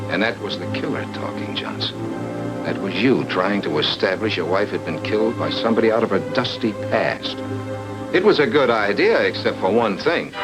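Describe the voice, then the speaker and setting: Tough noir detective, lowish and animated, sardonic; vintage radio drama with loud music underneath. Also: male voice, poor audio. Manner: clipped tough-guy talk with slangy jibes. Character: hard-boiled male private detective, sardonic and relentless. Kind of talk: 1940s film noir radio drama acting.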